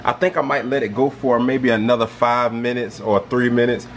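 A man's voice: mid-range voice, fairly even intonation, normal speed. A young man casually walking viewers through each step of his cooking in a home kitchen, filmed on a handheld camera. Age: young adult. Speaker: cooking vlogger. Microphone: handheld camera, close and at arm's length. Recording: home kitchen with frying and stirring noise. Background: food sizzling in a pan.

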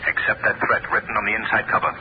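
An actor playing a grave, commanding crime fighter, stern and measured, in old-time radio style. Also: male voice, lowish voice, completely flat delivery, natural voice. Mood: stern warning. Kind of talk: 1940s radio acting. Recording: muffled, noisy old-time radio recording.

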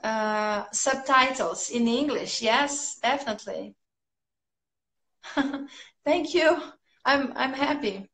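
Brazilian-accented woman in her thirties, upbeat English teacher chatting to viewers; slightly high voice, echoey home room, phone up close. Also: slow pace, long pauses, fairly bright tone. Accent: Brazilian Portuguese. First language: Portuguese. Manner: chatty and upbeat, laughing often. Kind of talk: casual livestream chat answering viewers' comments. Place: small room at home, indoors.

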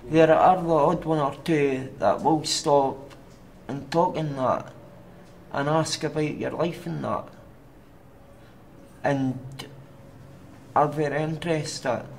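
A young man with a Glaswegian Scottish accent, giving personal testimony for a documentary in a halting, plain way with pauses and fillers. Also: on the high side, steady tone, vocal fry, a little hiss or hum, poor audio. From Scotland. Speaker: documentary interviewee. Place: outdoors on a city street at night.

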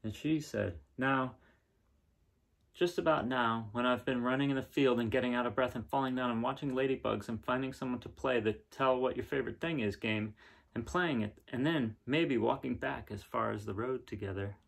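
A man in his thirties reading a picture book aloud in a casual, unhurried way with pauses between phrases. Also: medium pitch, creaky. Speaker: reader of children's picture books. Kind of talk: gentle read-aloud storytelling and casual chat. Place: small living room indoors, seen on camera.

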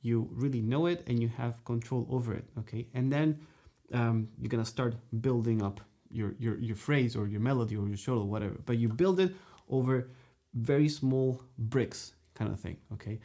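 A man in his thirties teaching saxophone straight to camera in a dark studio, animated and gesturing, checking in with "okay?" and "you know" between his points. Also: medium pitch, moderate pace. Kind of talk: direct-to-camera music lesson. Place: dark studio room, indoors.

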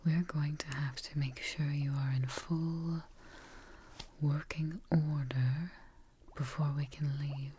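A young woman playing a devoted, tired scientist tending her creation in a breathy, gentle whispered ASMR roleplay, close to the mic. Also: sits low, slight background noise. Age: young adult. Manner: slow, breathy, gentle, pausing. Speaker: ASMR performer. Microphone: close ASMR microphone.

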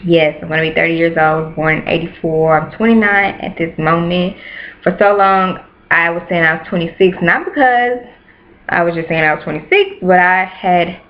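A woman's voice: on the low side, moderate pace. A woman in her late twenties chatting casually to camera in a small bedroom, repeating herself for emphasis. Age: 20s. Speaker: YouTuber. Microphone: webcam at close range. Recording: dull lo-fi webcam audio.

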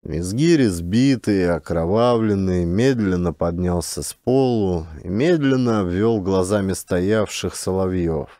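A man's voice: low-pitched, a little slow, hardly any pauses, dark tone, no room sound, clean studio sound. A man reading a scripted literary story as an audiobook narrator.

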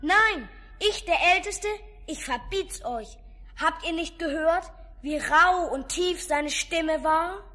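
A woman's voice: extremely high pitch, slow, bright, forceful tone, exaggerated voice. A woman playing a cautious, protective young male goat kid, earnest and firm, in a fairy-tale audio play. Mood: warning, firm.

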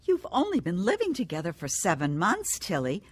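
A woman's voice: fairly low pitch, wildly swooping pitch, normal speed, husky, heavily acted. An actress playing a critical, dismissive middle-aged mother, brusque and scolding, in a radio drama. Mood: reproachful.

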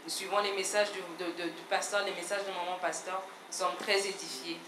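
Pastor's wife greeting the church, low earnest voice, echoey noisy hall. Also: female voice, level tone, brisk. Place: large church hall, indoors. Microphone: handheld mic.

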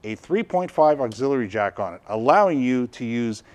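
A man's voice: mid-range voice, expressive, normal speed, a little mellow. A man in his fifties hosting a casual product demonstration, explaining things in a conversational way. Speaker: product reviewer and video host. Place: indoor studio workshop at a tabletop.

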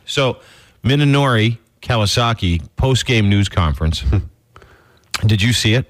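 A man co-hosting a casual morning radio show from a studio, rambling excitedly and laughing as he tells a story. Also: lowish voice, unhurried. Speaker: radio co-host. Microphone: studio microphone.

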